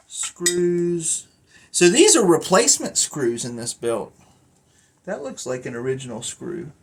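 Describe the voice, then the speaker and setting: A man giving a casual, unscripted collector's review, thinking aloud and wandering off into asides. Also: fairly high pitch. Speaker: collector reviewing a wrestling belt.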